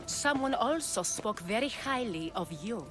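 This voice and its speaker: An actress playing a self-assured, shrewd leader of ancient Greek courtesans in a video game, speaking in a measured, formal way. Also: female voice, fairly high pitch, animated. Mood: composed. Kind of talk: video game cutscene acting. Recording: music behind the dialogue.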